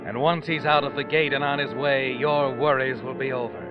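A man's voice: medium pitch, steady tone, brisk, natural voice. A man acting as the sly, taunting narrator of an old-time radio thriller, speaking insinuatingly to a guilty schemer. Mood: sly, ominous. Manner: sly, insinuating, addressing the character directly. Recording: muffled vintage radio recording, music behind.

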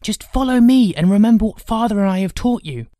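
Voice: medium pitch, expressive, brisk, dark tone, no room sound, clean studio sound, pitched above the performer's own voice, close to an everyday voice. A performer voicing a bold, cocky older brother, a brash boy fighter in a storybook. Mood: cocky, instructing. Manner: brash, commanding. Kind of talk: audiobook character acting.

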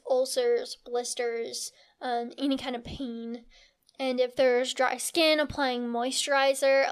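Young woman w a high voice hosting a health podcast, earnest + encouraging, explains stuff. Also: clean tone. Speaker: podcast host. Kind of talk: educational health podcast monologue.

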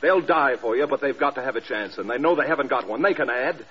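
Male actor as American bomber commander, rapid speech, loyal, defensive, blunt, hissy vintage radio recording. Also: mid-range voice, lightly acted. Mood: bitter, heated. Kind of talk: classic American radio drama acting. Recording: dull, noisy old radio recording.

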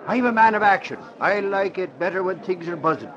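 An actor playing a blustering, folksy newspaper reporter with a hot temper, in a 1940s radio drama. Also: male voice, high-pitched, lively intonation, brisk, fairly bright tone. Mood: chatty, skeptical. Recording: muffled old radio recording, crowd behind.

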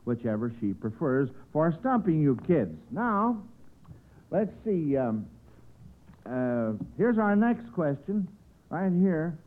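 A man with an American accent hosting a 1940s radio quiz show in a jovial, folksy, bumbling way. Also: a little high. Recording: scratchy old radio broadcast, narrow phone-like sound.

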